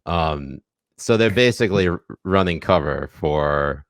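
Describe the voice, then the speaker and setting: Deep-voiced podcast guy, wry and swears a lot, lots of ums, dry close sound. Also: expressive, unhurried, dry recording. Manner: wry, profane, hesitant with many fillers. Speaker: podcast co-host. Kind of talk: casual political podcast chat.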